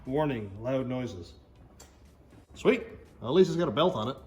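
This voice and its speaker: A man's voice: mid-range voice, animated, moderate pace, darkish tone, echoey room, a little hiss or hum. A man chatting casually as he hosts a DIY car build vlog, thinking aloud and muttering to himself as he works.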